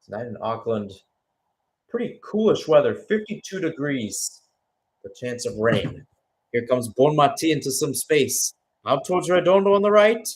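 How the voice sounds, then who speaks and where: A man's voice: mid-range voice, big pitch swings, normal speed, poor audio. A man giving live radio-style football play-by-play, mixing calls of the action with conversational analysis, hesitations and self-corrections. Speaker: play-by-play commentator.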